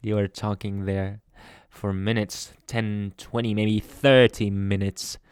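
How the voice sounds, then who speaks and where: A man playing a jealous, possessive young boyfriend in an ASMR roleplay, speaking with dramatic pauses and dropping into whispers. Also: on the low side, level tone, smooth, no echo, studio quality. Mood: bitter, sulky.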